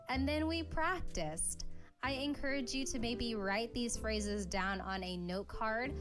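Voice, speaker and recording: A woman tutor delivers an encouraging, scripted lesson in a fairly high voice. Background music plays clearly behind her. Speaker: language tutor.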